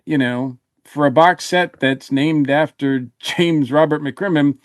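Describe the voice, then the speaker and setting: A man's voice: on the high side, slow pace, no room sound. Man thinking aloud, close dry sound. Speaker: podcast panelist. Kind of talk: casual review discussion.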